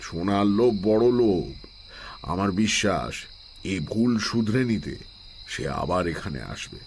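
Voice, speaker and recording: A learned village holy man in a radio drama, his voice low and unhurried in manner. He is calm and shrewd, weighing each thought like a teacher. Night insects sound steadily behind him. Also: a little hiss or hum. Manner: measured, philosophical, chanting Sanskrit verses slowly. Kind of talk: Bengali audio-drama acting.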